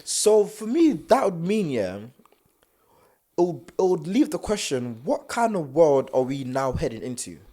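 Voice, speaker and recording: A young man, co-hosting a casual podcast chat, thinking aloud in a halting way with stutters and many fillers. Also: fairly high pitch, very animated, mellow. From South London, England. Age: young adult. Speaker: podcast co-host.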